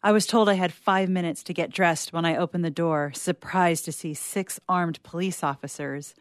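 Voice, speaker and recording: A woman's voice: lowish voice, fairly even intonation, moderate pace, no echo, clean studio sound, natural voice. Woman playing a grave, uneasy doctor recounting events. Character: uneasy, secretly sinister doctor testifying as sole survivor. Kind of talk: first-person suspense story reading.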